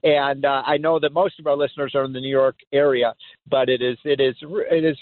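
A man hosting a call-in talk radio show, emphatic and excitable, often laughing as he talks. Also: medium pitch, brisk, no echo. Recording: tinny phone-like sound.

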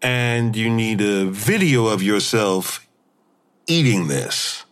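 An actor playing a patient, skeptical friend in an audio drama, speaking in a measured, deadpan way. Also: male voice, on the low side, clear, resonant tone. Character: patient, skeptical male friend, careful and obliging. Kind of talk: naturalistic audio drama dialogue.

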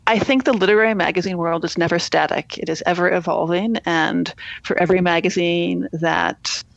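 A woman editor being interviewed on a podcast, answering in a thoughtful, measured way and often restarting her phrases. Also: lowish voice, fairly even intonation, vocal fry, no echo, lo-fi sound. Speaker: literary magazine editor, guest.

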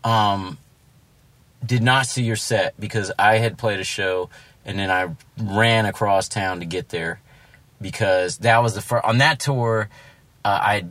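A man hosting a podcast in casual conversation, talking in a halting, rambling way with frequent restarts, recorded in a parked car. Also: lowish voice, a bit bright, no echo. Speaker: podcast host.

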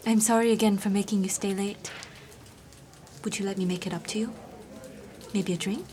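A woman's voice: mid-range voice, steady tone, normal speed, warm tone, slight background noise, close to an everyday voice. An actress playing a friendly, forward young Chinese woman who is new at an office, in a naturalistic audio drama. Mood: friendly, coaxing.

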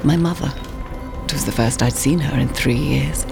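An actress playing a composed, haunted woman narrating her family past in an audio drama, in measured, literary, portentous phrases. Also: rumbling low, gravelly, exaggerated voice. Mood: wry, haunted. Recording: prominent music bed under voice.

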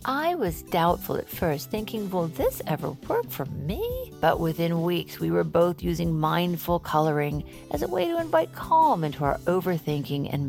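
Casting: chatty, flippant true crime podcaster in audio fiction; slightly low register, very animated delivery, music underneath. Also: female voice, vocal fry. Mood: upbeat, sincere. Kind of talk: scripted podcast banter and storytelling.

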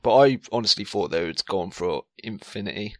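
A man's voice: fairly low pitch, animated, brisk, a bit bright. A man chatting casually about games as a podcast panelist. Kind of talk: casual gaming chat.

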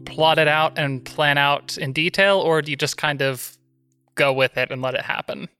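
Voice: medium pitch, fairly even intonation, moderate pace, bright, forceful tone. A podcast host speaking casually, introducing and putting questions to guests. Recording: guitar music bed.

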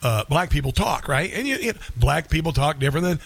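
A man's voice: on the high side, very animated, fairly quick, creaky, a bit bright, breathy edge. A male radio talk show host delivering an indignant, scoffing opinion monologue into a studio microphone.

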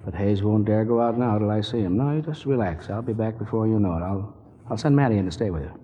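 An actor playing a brisk, protective amateur sleuth in a 1940s radio mystery. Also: male voice, lowish voice, a little hiss or hum, natural voice. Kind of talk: 1940s radio drama acting. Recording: dull, muffled old radio recording.

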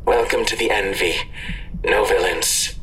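Creepy formal announcer for a shady website, super slow n low, audio drama thing. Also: male voice, fairly bright tone, slight background noise, poor audio. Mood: cold, formal. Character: sinister recorded announcer voice of a vigilante website, male.

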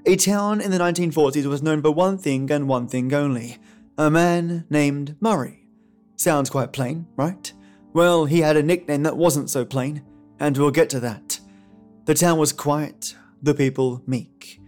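A man's voice: a little high, measured pace, a little mellow. A man hosting a podcast, delivering scripted narration and commentary like a theatrical storyteller. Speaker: podcast host. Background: background music with guitar.

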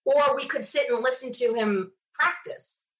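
A woman's voice: high voice, unhurried, low-quality recording. A woman being interviewed, telling casual personal stories in a chatty way and acting out bits of remembered conversation. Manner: chatty, animated quoting of self and others. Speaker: interview guest.

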